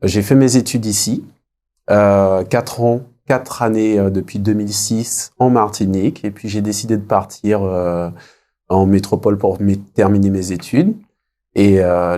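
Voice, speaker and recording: A man in his thirties from French Guiana, answering as an interview guest in a reflective, conversational way with frequent pauses. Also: fairly low pitch, steady tone, warm tone. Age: 30s. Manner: hesitant, reflective, pausing often.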